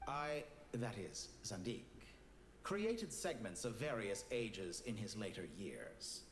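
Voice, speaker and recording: Calculating scientist villain, low-toned, measured, arrogant, video game dialogue, echoing with background noise. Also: male voice, very animated. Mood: detached, pointed. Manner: measured, condescending, philosophical. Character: cold, arrogant male scientist villain, detached schemer.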